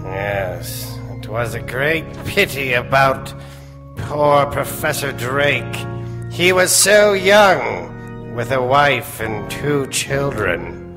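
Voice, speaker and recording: An actor playing a mysterious, musing doctor who tells strange tales in 1940s radio horror style. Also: male voice, mid-range voice, lively intonation, very slow, music in the background. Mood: mock regretful.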